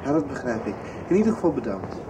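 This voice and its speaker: A man's voice: medium pitch, very animated, quick pace, close to an everyday voice, low-quality recording. Give this to a male actor as an earnest young man in a radio drama. He speaks in a middle register with some hesitation, sincere and searching, as in natural dialogue. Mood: polite, insistent. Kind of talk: radio soap acting.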